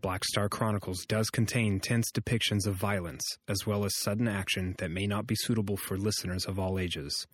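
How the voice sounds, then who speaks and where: A man reading a scripted audio drama announcement in a formal, measured manner. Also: fairly low pitch, steady tone.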